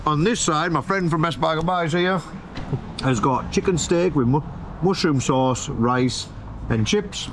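A man's voice: on the high side, a little slow. A man in his thirties with a British accent, reviewing food casually to camera in a chatty, slangy, emphatic way. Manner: chatty, slangy, emphatic, repeats phrases for effect. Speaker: food reviewer and vlog host. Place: open-air restaurant terrace by a road. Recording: outdoor street-side noise, passing vehicles.

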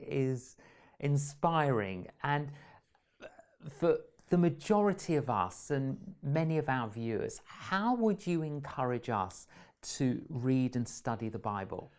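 A man's voice: mid-range voice, animated, slow pace, darkish tone. A middle-aged man hosting a studio interview, encouraging and upbeat. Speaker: host. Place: TV studio set, indoors.

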